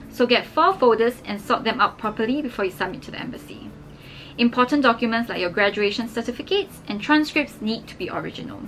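Young woman, steady instructive vlog delivery, slightly high, plain indoor recording. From Singapore. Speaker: scholarship advice vlogger. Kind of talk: scripted explainer to camera. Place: indoors against a plain wall.

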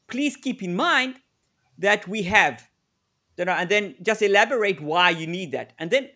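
Middle-aged man from south africa on a video call, fairly high voice, chatty, repeats himself while thinking. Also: animated, normal speed, pushed and bright. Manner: conversational, repeats words while thinking, acts out sample dialogue. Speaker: negotiation consultant presenting a webinar. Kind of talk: live webinar presentation.

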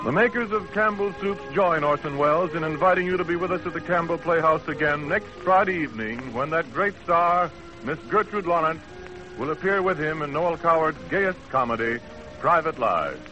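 A man's voice: fairly high pitch, lively intonation, normal speed, music in the background. A man reading scripted announcements in a polished, formal 1930s radio announcer style. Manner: polished, formal sales delivery. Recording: dull vintage 1930s radio recording.

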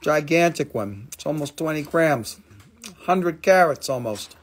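A middle-aged man hosting a live sales stream, talking casually and excitedly, exclaiming and swearing as he shows stones at a table indoors. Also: on the high side, a little slow, slightly rough. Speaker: live-stream gem seller.

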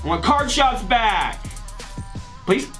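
Young male host, sarcastic, exasperated, high and lively voice, desk-cam at home. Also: takes it slowly, faint music behind. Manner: exasperated, sarcastic, animated rants. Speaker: YouTuber host. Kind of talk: comedy sketch. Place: home room with posters, indoors.

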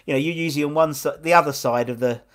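A man's voice: on the high side, racing. Chatty man hosting a video call. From England. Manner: rambling, chatty, many restarts. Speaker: host. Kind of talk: casual video-call interview. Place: home room lit pink. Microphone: wired earphones on video call.

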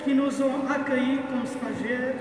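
A young man from India with an Indian accent, whose native language is Konkani, gives a solemn, measured formal speech of thanks as a new priest in a large cathedral. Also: very high-pitched, fairly even intonation. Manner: solemn, measured, pausing between phrases. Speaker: newly ordained priest. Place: large cathedral during a ceremony. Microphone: far camera picking up the church sound system. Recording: very echoey cathedral, distant mic, crowd ambience. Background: faint congregation noise.

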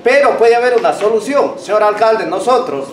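High-voiced Ecuadorian councilman in echoey room. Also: animated, moderate pace. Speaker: town councillor. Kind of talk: formal proposal at a meeting. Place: meeting hall, indoors. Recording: distant, echoey, noisy room.

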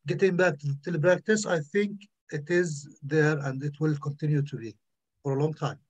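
A middle-aged man with an Arabic accent speaks on a video call, pausing often and filling gaps with "uh." His pitch sits a little higher than most men's. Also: husky, dull audio. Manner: hesitant, many fillers. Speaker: panelist, speech-language clinician. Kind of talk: panel discussion answer. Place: indoors, in front of a virtual backdrop.

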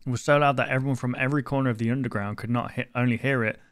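A man in his twenties with a mid-pitched voice comments casually as he reacts to a video. He speaks close to the mic in a small room, with clear noise behind him. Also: steady tone, rapid-fire. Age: 20s. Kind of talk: casual reaction commentary, reading captions aloud. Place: small home room, indoors. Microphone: desk microphone close to mouth, headset worn.

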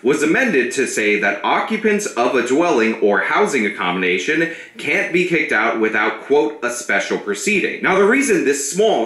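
Lively, bright-voiced commentary man. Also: mid-range voice, reverberant. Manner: sharp, scornful, argumentative. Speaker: political commentary host. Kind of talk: scripted commentary. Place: small room with a brick wall. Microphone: studio mic on a stand.

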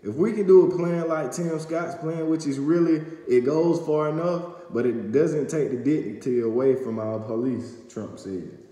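A man's voice: a little high, moderate pace, few pauses, warm tone. Young man reading news in echoey room. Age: young adult. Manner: reads aloud with stumbles and fillers. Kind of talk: reading and summarizing news to camera. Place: small indoor room at home. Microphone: phone camera at arm's length. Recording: echoey room with long reverb.

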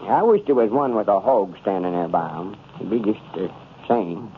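An actor playing a vain, stubborn old country storekeeper with a folksy rural Southern drawl in a 1930s radio comedy. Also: male voice, lowish voice, animated, moderate pace. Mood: musing. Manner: folksy drawl, self-important. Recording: tinny noisy old radio broadcast.

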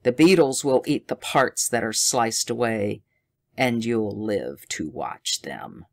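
A reader voicing a mad, cruel villain who speaks slowly and with menace in an audiobook. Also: medium pitch, expressive, gravelly, pitched below the performer's own voice. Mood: menacing. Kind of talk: audiobook character voice.

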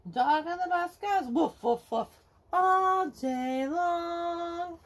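A middle-aged woman reading a children's picture book aloud to kids at home, slowly singing the verses in a sing-song way. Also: extremely high pitch. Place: home living room, indoors.

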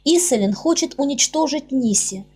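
A woman's voice: high voice, expressive, moderate pace, mellow. A woman voicing a sorrowful young male elf in an audiobook, telling things in a pained, earnest way. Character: sorrowful young male elf recounting his people's plight. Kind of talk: audiobook character reading. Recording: music under the voice.

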